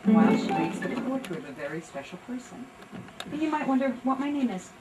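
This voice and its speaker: A woman's voice: on the high side, very animated, a little fast, loud music behind the voice. A woman introducing a live library program to an audience, explaining in short phrases and questions. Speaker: conservatory director introducing the program. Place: library event room. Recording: distant mic, loud room noise.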